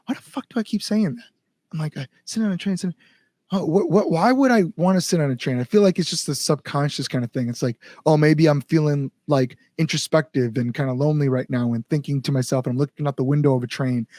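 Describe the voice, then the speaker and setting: A man's voice: a little high, a little mellow, dry recording, studio quality. A man chatting casually as a musician guest, rambling through stories with lots of likes and acted-out asides. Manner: rambling storyteller, frequent likes, acts out inner thoughts. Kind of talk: casual podcast chat.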